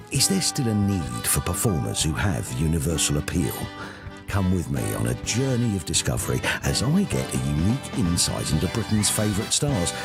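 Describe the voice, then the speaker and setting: A man's voice: low-pitched, creaky. A man delivering a jokey, theatrical scripted intro as the show's host. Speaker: show host doing the intro. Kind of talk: scripted comic intro over music. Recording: music bed behind the voice.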